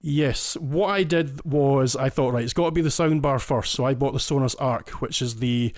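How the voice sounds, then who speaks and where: A man from Scotland with a Scottish accent, chatting as a podcast guest. He goes into eager detail and keeps restarting his phrases. His voice sits in a middle pitch and sounds dry and close, with no room around it. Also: moderate pace, no room sound. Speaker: listener guest. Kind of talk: casual podcast chat.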